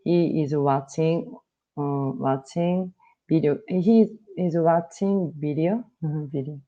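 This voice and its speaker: A woman in her thirties from South Korea with a Korean accent, speaking halting learner's English full of fillers on a video call. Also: low-pitched, warm tone, lo-fi sound. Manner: halting, with frequent uh fillers and searching for words. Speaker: English student. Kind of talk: casual online English conversation practice.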